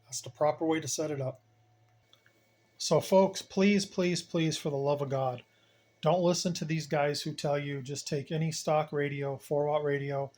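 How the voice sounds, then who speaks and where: A man's voice: fairly high pitch, darkish tone. A man giving a casual hands-on demonstration as a hobbyist host, plain-spoken and colloquial, with emphatic turns of phrase.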